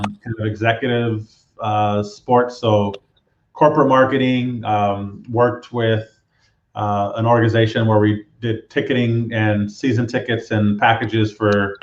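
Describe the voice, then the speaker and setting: A man from Southern California, a guest telling his background in a relaxed, roundabout way. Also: mid-range voice, roomy sound, dull audio. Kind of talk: casual interview storytelling.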